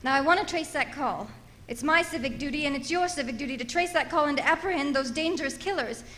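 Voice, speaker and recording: A woman's voice: high voice, fast, bright, forceful tone, a little hiss or hum. An actress playing an imperious, frightened married society woman in radio melodrama, agitated, haughty and prone to stammering. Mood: indignant, demanding. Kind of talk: old-time radio melodrama acting.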